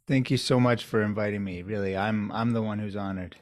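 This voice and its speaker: A man with a somewhat low voice answers interview questions as a doctor. He talks in a measured, reflective way, building his answers step by step. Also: fairly even intonation, darkish tone. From United States, New York area. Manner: measured, thoughtful storytelling. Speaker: urologist guest.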